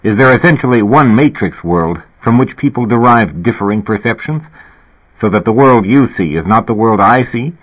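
A thoughtful man lays out one probing question after another, read by a male narrator. The voice sits on the low side. It sounds thin and tinny, like a phone line. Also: lively intonation, normal speed. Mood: musing. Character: pondering man, philosophical questioner.

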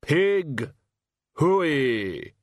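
A man's voice: medium pitch, animated, dry recording, clean studio sound, pitched above the performer's own voice. A man voicing a red-hooded gift-bringer with a heavy, puzzled, gloomy voice. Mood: glum. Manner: slow, heavy, glum.

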